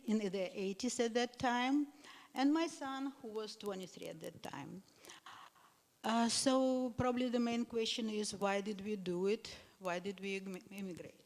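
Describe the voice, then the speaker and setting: An older woman from Moscow, a temple member on a panel, telling her emigration story with light laughs and many hesitant fillers into a handheld mic. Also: mid-range voice. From Moscow, Russia. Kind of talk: personal storytelling to a congregation. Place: congregation hall. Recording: long reverb of a hall.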